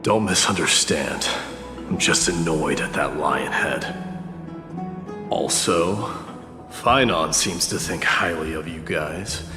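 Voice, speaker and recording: A man playing a proud, gruff warrior lord in a video game, stern, contemptuous and threatening. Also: a bass voice, slow pace, long pauses. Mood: grudging, aloof. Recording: echoey hall reverb, music underneath.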